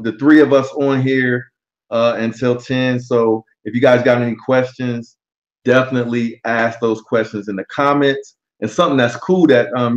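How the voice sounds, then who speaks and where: A man in his forties hosting a casual livestream chat, relaxed and often pausing on um. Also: medium pitch, highs cut off. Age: 40s. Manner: relaxed, frequent um fillers. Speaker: livestream host. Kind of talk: casual live-stream chat.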